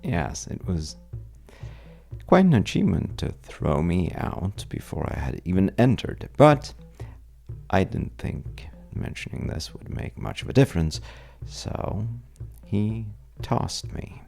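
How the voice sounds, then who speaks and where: Man acting a deep, gravelly, roguish bar stranger. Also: very animated, dark tone, soft background music, full character voice. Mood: droll storytelling. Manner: wry, teasing, hesitant storyteller with dramatic pauses. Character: well-dressed roguish stranger at a bar, wry trouble-seeking charmer. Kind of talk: intimate audio roleplay monologue addressed to the listener.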